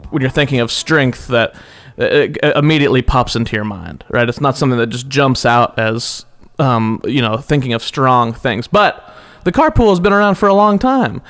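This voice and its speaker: A man's voice: mid-range voice, lively intonation, moderate pace, hardly any pauses, a bit bright. A man hosting his own podcast from home, upbeat and rambling, laughing often as he chats with a guest. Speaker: podcast host. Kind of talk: casual interview chat.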